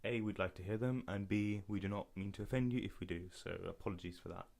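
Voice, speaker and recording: A man's voice: fairly low pitch, quick pace, gravelly, a little mellow. A young man from Berlin co-hosting a casual podcast, chatting informally to listeners. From Berlin, Germany. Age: young adult. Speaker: podcast co-host.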